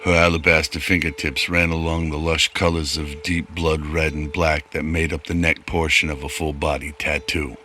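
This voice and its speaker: A man's voice: very deep voice, flat delivery, normal speed, few pauses, pushed and bright, a little hiss or hum. A man narrating a scripted audio drama as its storyteller.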